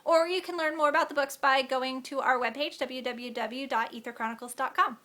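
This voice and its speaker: A woman author reading aloud from her novel, expressive in the narration and chatty in her asides. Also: sits high, fairly quick. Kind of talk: audiobook-style reading.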